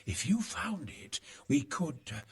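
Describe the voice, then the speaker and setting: Crafty, highly animated male schemer. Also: unhurried, vocal fry, heavily acted. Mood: sly, persuasive. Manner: sly, hedging, expressive. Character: crafty, conspiratorial male schemer sheltering in a monastery. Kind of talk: video game cutscene acting.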